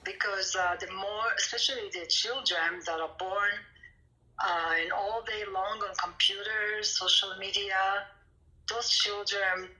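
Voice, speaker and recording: A woman from Israel, speaking on a chat show in halting bursts with many restarts. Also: medium pitch, steady tone, bright, forceful tone, rough recording. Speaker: caller. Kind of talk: casual chat.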